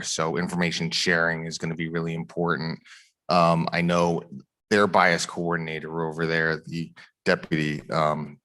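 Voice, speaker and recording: A man from a prosecutor's office answering questions at a community forum in an earnest, measured way, with many fillers and restarts. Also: sits low, sharp tone. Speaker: district attorney's office official.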